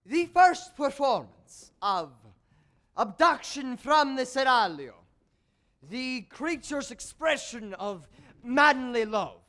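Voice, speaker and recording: An actor on a theatre stage playing a jealous, courtly male composer, formal and measured, with bitterness under the politeness. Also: very high-pitched, very animated, sharp tone, heavily acted. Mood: bitter, anguished. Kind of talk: theatrical stage acting with dramatic monologue.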